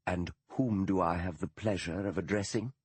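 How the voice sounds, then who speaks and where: A man playing a genial gentleman detective. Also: sits low, breathy edge, dry recording. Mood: polite. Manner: polite, measured, formal diction. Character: courteous English gentleman detective, man, cheerful.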